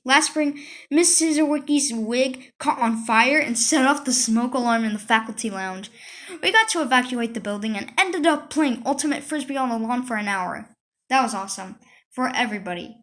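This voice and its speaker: A woman reads a children's story aloud in a high, bright voice. Her delivery is expressive and conversational, as if the story were her own, with asides along the way. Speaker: reader of a children's book. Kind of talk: expressive read-aloud narration.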